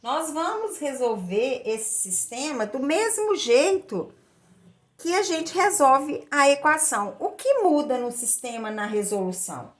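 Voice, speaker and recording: A woman's voice: sits high, very animated, unhurried. A woman with a Brazilian accent explaining a lesson step by step as a maths teacher, in a didactic way with repeated phrases. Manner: didactic, repeats phrases and checks in with short tag questions.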